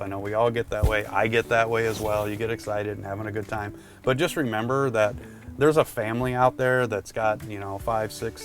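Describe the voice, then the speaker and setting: A man presenting product tips in a casual, conversational instructional style, talking directly to the viewer. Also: on the low side.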